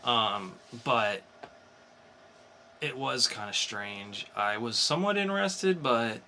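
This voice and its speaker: A man with a bright, mid-pitched voice speaks as a podcast co-host in loose, casual review chat. He hedges and rewords his thoughts as he goes, over faint background noise. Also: animated, takes it slowly. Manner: hesitant, hedging, rephrasing as he goes.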